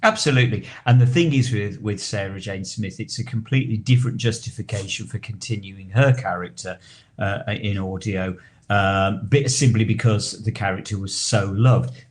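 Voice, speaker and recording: Low-voiced man chatting on a podcast. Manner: wordy, halting, repeats and rephrases himself. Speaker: podcast co-host. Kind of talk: casual podcast discussion.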